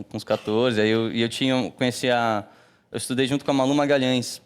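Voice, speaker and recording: Man, Brazilian accent, 30s, casual interview talk, relaxed, filler-heavy. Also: mid-range voice, clean tone. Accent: Brazilian Portuguese. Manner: relaxed, many fillers like "né" and "assim". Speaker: musician guest.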